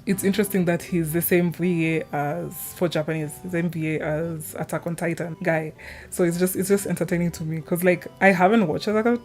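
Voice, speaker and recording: A young woman speaks in a fairly low voice over background music. She chats informally about her opinions, wandering into tangents as she goes. It has the loose, unscripted feel of a homemade gaming video. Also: darkish tone. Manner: informal, chatty, trailing tangents. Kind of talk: casual rambling opinion chat.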